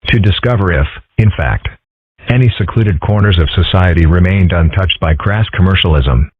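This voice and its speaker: A pompous storybook narrator, as a man puts him on speaks with deep, husky gravity and epic phrasing. The voice is low. The sound is dry and phone-thin. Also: moderate pace, no echo. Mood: grandiose. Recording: dry, tinny phone-like recording.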